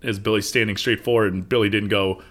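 A man's voice: fairly low pitch, steady tone, fast, fairly bright tone. A man co-hosting a podcast, chatty and analytical, joking while he discusses a movie. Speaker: podcast co-host.

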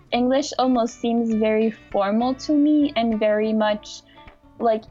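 A young woman from the United States telling her own story in a reflective, casual way, often pausing with "like". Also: on the high side, a little mellow, dull audio. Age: young adult. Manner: reflective, with frequent "like" and restarts. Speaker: interviewee.

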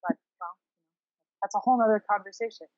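A woman's voice: mid-range voice, quick pace, a little mellow, dry recording. A woman co-hosting a podcast in an informal, conversational way with wry asides, on slightly muffled audio. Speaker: podcast co-host. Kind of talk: casual podcast chat.

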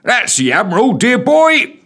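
A man's voice: extremely high pitch, huge pitch swings, unhurried, creaky, bright, forceful tone, voice pushed up, heavily acted. A man voicing a jolly, rotund male police constable who keeps chortling and laughing as he talks.

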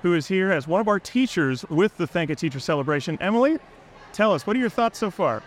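A man's voice: sits high, animated, quick pace, slight background noise. A man co-hosting a podcast at a live event, friendly and jokey with fillers. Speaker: podcast co-host. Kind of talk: casual live interview. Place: outdoor event fair.

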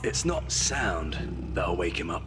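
A man's voice: deep voice, very animated, takes it slowly, a bit bright, exaggerated voice. A man playing a theatrical, bossy companion in a comedy fantasy audio drama. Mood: dry, ominous. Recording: echoey long-reverb hall with music behind.

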